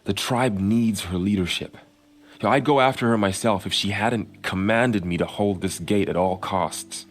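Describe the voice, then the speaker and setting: An actor playing a grave young warrior guarding a gate, speaking in a solemn, earnest way. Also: male voice, on the low side, normal speed, natural voice. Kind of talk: video game cutscene acting.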